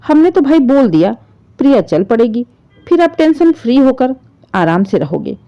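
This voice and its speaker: A woman's voice: high voice, very animated, normal speed, lo-fi sound, voice pushed up. A woman storyteller voicing a brusque, impatient daughter-in-law with a huffy, dismissive manner.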